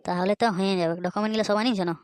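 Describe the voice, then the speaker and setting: A performer voicing a brisk, businesslike village shopkeeper in a comedy cartoon, with a rural Purulia Bengali accent. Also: mid-range voice, fairly even intonation, clear, resonant tone, dry recording, studio quality, close to an everyday voice. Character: brisk, matter-of-fact village online-centre shopkeeper.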